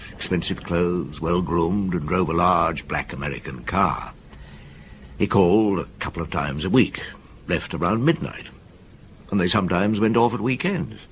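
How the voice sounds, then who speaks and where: A very low, gravelly man plays out a seasoned detective in a radio drama. His delivery is reflective and measured but widely inflected, with some background noise. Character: seasoned, thoughtful police detective recounting a case.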